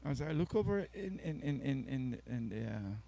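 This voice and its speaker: A man calls a youth soccer game with a gravelly, mellow voice. He chats and laughs with his co-commentator as the play unfolds. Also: mid-range voice, animated, moderate pace, lo-fi sound. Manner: chatty, laughs easily, trades banter with co-commentator. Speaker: sports commentator.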